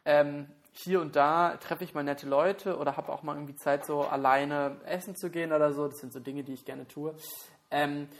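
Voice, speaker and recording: A man's voice: a little high, fairly even intonation, moderate pace. A young man co-hosting a podcast with a stuffy cold, rambling self-consciously with many fillers. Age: young adult. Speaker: podcast co-host. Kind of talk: casual chat.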